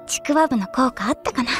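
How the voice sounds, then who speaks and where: A woman's voice: sits high, a bit bright, heavily acted. A woman playing a playful, teasing teenage schoolgirl with a crush in an anime audio drama.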